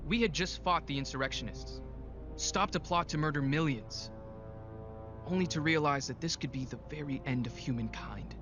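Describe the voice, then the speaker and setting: A man playing an earnest young super-soldier recounting war in clipped lines, in an animated sci-fi drama. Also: fairly high pitch, normal speed, natural voice. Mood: somber recollection. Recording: slightly dull, faint music.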